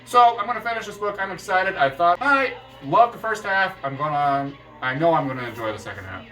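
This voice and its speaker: A man chatting casually to camera about books, animated and quick to jump up in excitement. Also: far from the mic, over music, poor audio. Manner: animated, jumps up in pitch with excitement. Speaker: book vlogger.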